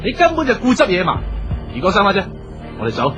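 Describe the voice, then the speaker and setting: A man's voice: high voice, wildly swooping pitch, quick pace, fairly bright tone, lo-fi sound, soft background music, heavily acted. A man with a Hong Kong Cantonese accent playing a blunt, resentful and scheming younger brother in a radio drama. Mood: indignant. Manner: blunt, complaining.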